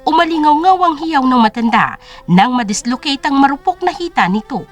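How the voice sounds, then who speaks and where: A woman's voice: high voice, very animated, fairly quick, soft background music. A woman narrating a scripted story as a dramatic audio drama.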